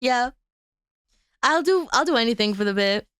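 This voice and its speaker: A young woman with a rather high voice chats with a guest on her stream. She stutters, repeats words and rambles, and she laughs at herself often. The sound is dry and close, with no room around it. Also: animated, moderate pace, a bit bright, clean tone, dry recording. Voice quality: stutters, with frequent repeats and restarts. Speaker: VTuber streamer hosting a guest. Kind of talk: casual chat.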